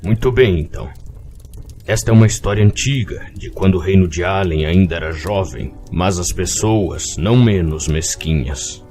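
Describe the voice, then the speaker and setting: A man's voice: low voice, moderate pace, warm tone, soft background music, natural voice. A man playing a kindly, lonely old innkeeper, telling tales with theatrical phrasing in an audio drama. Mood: solemn storytelling. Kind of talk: dramatic fairy-tale narration in an audio drama.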